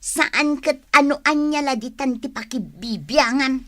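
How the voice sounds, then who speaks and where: A woman's voice: high voice, a little slow, a bit bright. A woman playing a haughty, bossy, quarrelsome office worker in a radio drama, curt and confrontational. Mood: defiant. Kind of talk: Philippine radio drama acting.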